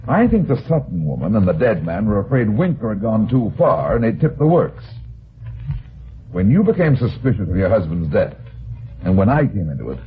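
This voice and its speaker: An actor playing a tough, self-assured private detective in an old-time radio drama, with a slangy hard-boiled delivery. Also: male voice, on the low side. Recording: noisy, narrow-band old radio recording.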